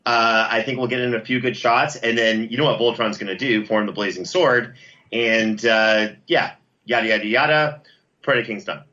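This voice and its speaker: A man's voice: on the low side, fairly even intonation, normal speed, sharp tone. A man chatting casually as an interview guest, playful and joking as he talks things through, on a dull recording. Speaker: podcast producer and interview guest.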